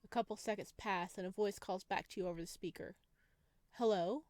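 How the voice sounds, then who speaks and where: A woman's voice: mid-range voice, steady tone, fast, no echo. Woman casually reading game text, very dry sound. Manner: playful, giggly asides. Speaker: gaming video creator reading and playing a visual novel.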